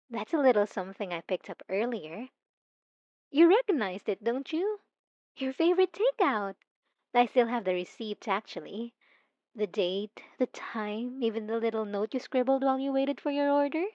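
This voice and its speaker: Woman voicing a possessive young stalker for ASMR. Soft, intimate, slow and teasing. Dry, slightly muffled sound. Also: on the high side, dry recording. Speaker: narrator speaking to the listener. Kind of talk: ASMR roleplay.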